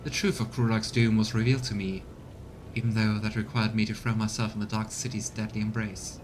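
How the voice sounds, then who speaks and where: Man voicing a solemn, scornful low-voiced alien elf seer. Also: little pitch movement, brisk, slight background noise, lightly acted. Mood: contemptuous. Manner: solemn, archaic, haughty. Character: scornful, grieving male alien elf farseer. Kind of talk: voiced reading of game dialogue. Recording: music behind the voice.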